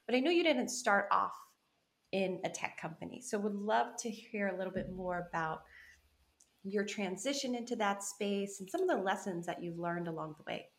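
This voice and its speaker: A woman's voice: mid-range voice, animated, moderate pace. A woman hosting a podcast interview, upbeat and effusive, laughing easily. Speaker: podcast host.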